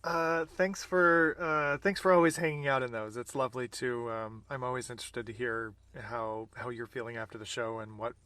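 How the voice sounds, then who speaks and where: Male interviewer and actor, medium pitch, relaxed chatty format, slightly hesitant phrasing with rewording. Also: normal speed, hardly any pauses, smooth. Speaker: interviewer and fellow stage actor. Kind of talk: casual conversational interview.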